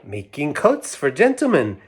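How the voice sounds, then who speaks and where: A man's voice: fairly high pitch, wildly swooping pitch, unhurried, breathy edge, voice pushed up, exaggerated voice. A man voicing tiny, wary but polite mice in a nursery storybook.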